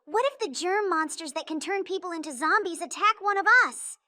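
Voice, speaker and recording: Woman voicing a cautious child, cartoon dub, questioning, bright tone, dry close sound. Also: very high voice, fairly quick, no echo. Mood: concerned. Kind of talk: comic dub character acting.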